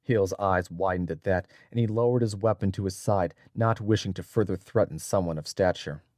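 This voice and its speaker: A man narrating an adventure audiobook in a dramatic, measured and solemn scripted style. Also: sits low, darkish tone, no room sound, studio quality.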